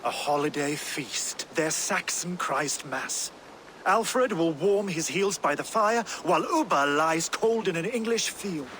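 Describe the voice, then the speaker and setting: An actor playing a fierce, middle-aged Viking warlord, theatrical and vengeful, in a dramatic video game style. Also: male voice, fairly high pitch, expressive, measured pace, a little hiss or hum. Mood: scheming, scornful. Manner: grandiose, theatrical, forceful turns of phrase.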